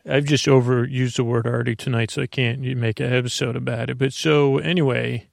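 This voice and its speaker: A man's voice: medium pitch, fairly even intonation, brisk, no room sound, clean studio sound. A man hosting a sleep podcast, rambling in a hesitant, meandering way with lots of fillers and restarts. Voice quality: creaky. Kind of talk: rambling bedtime monologue.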